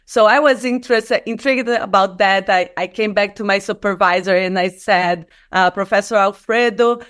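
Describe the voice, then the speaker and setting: A woman's voice: mid-range voice, fairly even intonation, moderate pace, a bit bright. A woman in her thirties from Brazil, a native Portuguese speaker with a Brazilian accent, explaining things earnestly in a conversational interview with non-native English phrasing. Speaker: guest, skin and stem cell researcher. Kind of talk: conversational interview, personal storytelling.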